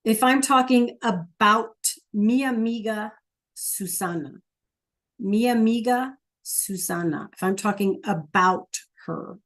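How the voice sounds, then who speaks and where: A woman teaching a video class at a slow, unhurried pace, keeping to a middling pitch. She stops often to check in with her students, patient and steady. Her voice comes through a computer mic. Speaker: Spanish teacher.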